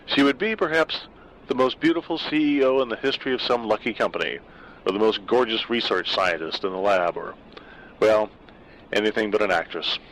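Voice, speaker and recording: A man reading a dark short story aloud in a measured, wry way. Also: on the low side, a little hiss or hum, rough recording. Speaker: narrator. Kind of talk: scripted story narration.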